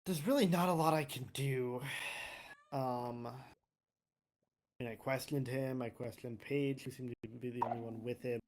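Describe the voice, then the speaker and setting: A roleplayer speaking tentatively in halting phrases, improvising in-character dialogue. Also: mid-range voice, a little mellow. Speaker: roleplayer in a Minecraft court drama.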